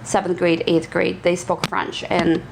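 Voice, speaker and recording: A woman's voice: fairly low pitch, little pitch movement, a little slow, creaky, a little hiss or hum, poor audio. A woman from Albania, a native Albanian speaker, chatting casually as a podcast host and laughing often as she tells rambling stories. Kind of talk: casual interview chat.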